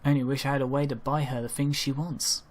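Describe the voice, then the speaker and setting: A man's voice: medium pitch, little pitch movement, fast. A man reading a first-person story aloud as an amateur narrator, sometimes stumbling over his lines. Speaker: amateur reader narrating a fan fiction story.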